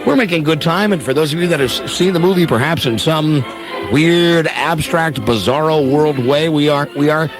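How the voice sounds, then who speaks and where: A man's voice: on the high side, moderate pace, over music. A man hosting a radio show in a joking, improvised chat style.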